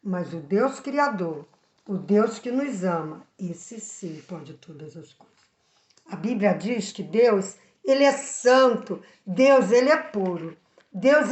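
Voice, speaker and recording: A woman giving a Bible lesson to children, speaking slowly and earnestly and putting questions to the listener. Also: mid-range voice, a little mellow, reverberant. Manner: earnest, slow and emphatic, asks the listener questions.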